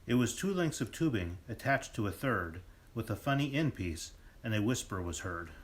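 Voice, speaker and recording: A man's voice: fairly low pitch, very animated, moderate pace. A man playing a theatrical storybook narrator, telling a comic murder mystery in lilting rhyme. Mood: dramatic, wry. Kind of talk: scripted rhyming narration.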